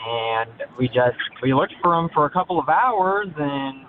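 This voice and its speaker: A man calling on a thin phone line, talking in a halting, hesitant, evasive way. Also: fairly high pitch, lively intonation, slight background noise. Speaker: caller.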